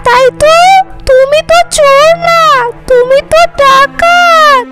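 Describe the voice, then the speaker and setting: A woman's voice: extremely high pitch, takes it slowly, loud music behind the voice. A woman voicing a sweet, admiring little fox daughter, childlike and fond, in a comic cartoon.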